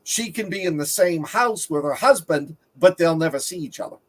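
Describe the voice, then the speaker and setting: High-voiced man explaining casually. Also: animated, moderate pace. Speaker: podcast panelist discussing films.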